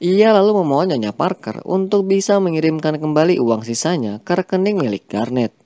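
A man reading a scripted film plot recap as a voice-over, with a steady storytelling delivery. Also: a little high, fairly quick, no room sound, clean studio sound. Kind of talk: scripted narration.

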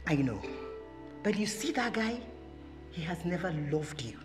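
A young woman with a Nigerian accent acting a loyal friend who scolds and switches to loud Pidgin. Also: lowish voice, big pitch swings, measured pace, music in the background. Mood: scolding. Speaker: protective friend. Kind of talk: skit drama acting.

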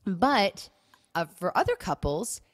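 A woman's voice: mid-range voice, sing-song, slow, dry recording, studio quality. A middle-aged American woman co-hosting a podcast in casual conversation, chatty and emphatic, repeating words for stress. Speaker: podcast co-host.

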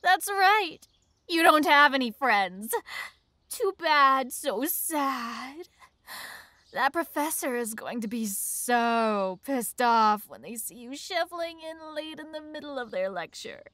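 Woman playing a slow-talking, teasing college bully girl. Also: sits high, expressive, sharp tone, dry recording, exaggerated voice. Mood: mocking. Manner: slow, taunting, breathy teasing delivery. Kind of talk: ASMR roleplay.

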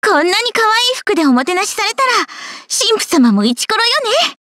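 A woman voicing a sweet, cutesy young maid girl in an anime game, excitable and eager to serve. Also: very high voice, racing, dry recording, studio quality, full character voice. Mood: bubbly, playful. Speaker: voice actor. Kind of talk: anime game character voice clips.

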